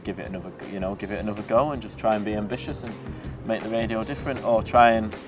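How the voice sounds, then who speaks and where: A man's voice: fairly low pitch, steady tone, fast, distant mic, music in the background. A young man, a student radio presenter talking casually in an easygoing, rambling way with lots of fillers. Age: young adult. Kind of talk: casual interview talk. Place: outdoors in a city.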